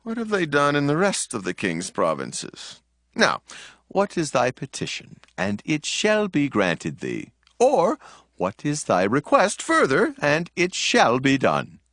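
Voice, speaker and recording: A man's voice: a little high, wildly swooping pitch, slow, a bit bright, no echo, clean studio sound, exaggerated voice. An actor playing a solemn, powerful king in a dramatized scripture production, speaking in a stately, declamatory way. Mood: grave, magnanimous. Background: background music.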